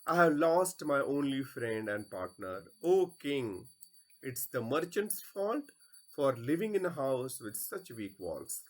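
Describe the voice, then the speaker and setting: A man's voice: mid-range voice, big pitch swings, slow pace. A man voicing a self-righteous thief in a stage play, pleading plaintively and melodramatically. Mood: wailing, aggrieved.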